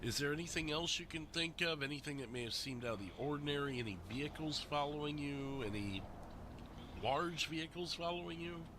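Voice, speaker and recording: A man's voice: on the high side, brisk, background noise. A man chatting casually on a game stream as host, thinking aloud as he goes.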